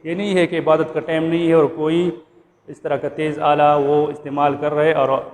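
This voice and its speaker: A man's voice: fairly high pitch, level tone, moderate pace. Man lecturing in a reverberant, noisy room. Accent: Pakistani. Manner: didactic, repeats points and checks understanding. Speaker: law instructor. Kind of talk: explanatory lecture going through a statute clause by clause. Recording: reverberant room with background noise.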